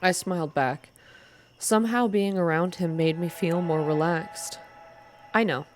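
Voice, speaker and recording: Low voiced lady doing asmr story reading, bit of music in the back. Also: a little slow. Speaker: reader of a visual novel game. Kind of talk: soft ASMR reading, scripted story narration with voiced dialogue.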